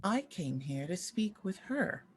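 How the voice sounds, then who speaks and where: A woman's voice: lowish voice, very animated, measured pace, husky, full character voice. A woman playing an imperious older former child actress at a drama table read, grand, clipped and commanding. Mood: guarded.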